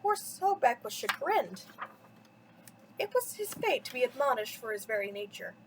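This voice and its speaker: A woman's voice: a little high, sing-song, normal speed, background noise. A woman reading a mythology story aloud for children as a storyteller, with dramatic, theatrical emphasis. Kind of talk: expressive bedtime story read-aloud.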